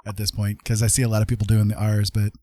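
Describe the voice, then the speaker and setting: A man's voice: fairly low pitch, monotone, quick pace, mellow, a little hiss or hum. A man hosting a casual livestream, commenting on a game in an encouraging, jokey manner. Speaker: livestream host.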